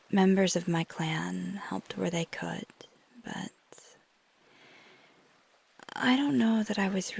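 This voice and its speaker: Woman playing a breathy, slow-talking flirty vampire woman. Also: fairly low pitch, full character voice. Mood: reflective, subdued. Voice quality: soft, breathy, often whispery. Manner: unhurried, thoughtful, affectionate. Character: flirty, caring female vampire, gently teasing and reassuring. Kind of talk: ASMR roleplay, intimate close address to listener.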